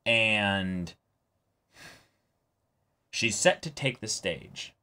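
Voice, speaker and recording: Deep-voiced man with a bright edge. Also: expressive, extremely slow. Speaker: co-host of an anime reaction show. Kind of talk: casual discussion of an anime episode.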